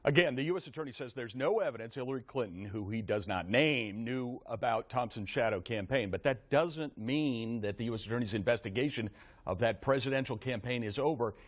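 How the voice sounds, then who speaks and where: A male TV news reporter with a booming voice, pressing his questions and recounting them in a live studio hookup. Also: fairly quick. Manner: insistent, repeatedly pressing a question. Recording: dull lo-fi broadcast audio.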